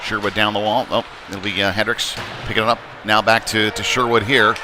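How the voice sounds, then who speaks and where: A man doing live play-by-play of a youth hockey game in a halting, folksy, conversational way. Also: fairly low pitch, level tone. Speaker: play-by-play commentator. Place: indoor ice rink during a game. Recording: noisy arena, clear rink ambience behind.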